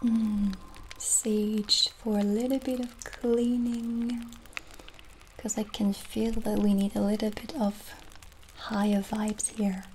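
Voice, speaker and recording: A woman in her thirties playing a kindly witch shopkeeper in a gentle, soothing, soft-spoken ASMR roleplay. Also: medium pitch, little pitch movement, takes it slowly, slight background noise. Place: small candlelit indoor set. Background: soft background music.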